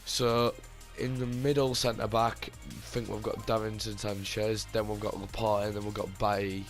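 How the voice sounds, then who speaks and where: Guy w a bit of a hoarse voice rambling thru his gaming commentary, flat monotone, quiet music behind. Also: fairly low pitch, normal speed. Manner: rambling, with many fillers and restarts. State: slightly hoarse from a lost voice. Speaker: gaming channel host. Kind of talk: casual gameplay commentary.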